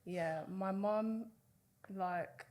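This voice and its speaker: A woman's voice: mid-range voice, very slow, a little mellow. Candid, giggly woman in her twenties. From Guyana. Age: 20s. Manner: candid, giggly, a bit shy. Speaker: guest, fitness client. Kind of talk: casual interview chat.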